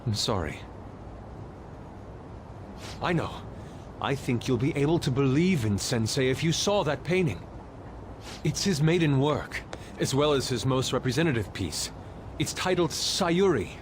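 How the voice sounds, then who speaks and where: A man's voice: medium pitch, expressive, measured pace, long pauses, noisy background, close to an everyday voice. An actor voicing a proud, formal young art student, loyal to his teacher, with theatrical diction in an English game dub. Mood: contrite, earnest. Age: young adult. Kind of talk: dramatic English video game dub acting. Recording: music behind the voice.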